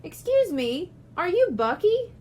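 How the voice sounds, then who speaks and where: A woman's voice: extremely high pitch, very animated, a little slow, darkish tone, a little hiss or hum, voice pushed up, exaggerated voice. A woman reading the part of an eager, worried young boy in a children's storybook. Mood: earnest. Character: eager, worried young boy looking for his lost pony.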